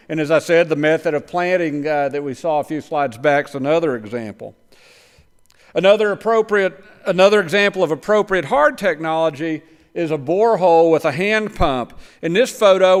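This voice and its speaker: A man with a high, smooth and resonant voice explains things plainly and sometimes stumbles over words. His talk rings with a long reverb through a church sanctuary. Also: fairly bright tone. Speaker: missionary agriculture lecturer. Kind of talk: church presentation with slides.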